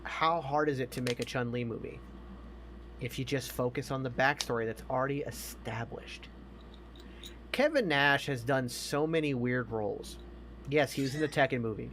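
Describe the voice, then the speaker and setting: A man's voice: mid-range voice, normal speed, fairly bright tone, slight background noise. A man chatting casually about movies as a podcast co-host, emphatic, opinionated and given to swearing.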